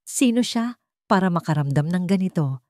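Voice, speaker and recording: A woman storyteller giving expressive, dramatic scripted narration of a love drama. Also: fairly low pitch, moderate pace, no room sound, studio quality.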